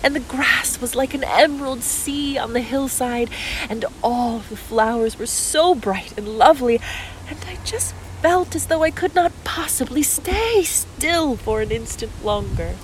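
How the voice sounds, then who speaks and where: A woman's voice: high-pitched, lively intonation, unhurried, a little hiss or hum, rough recording. A woman playing an ancient oak tree newly turned human, earnest and full of wonder, in a radio play.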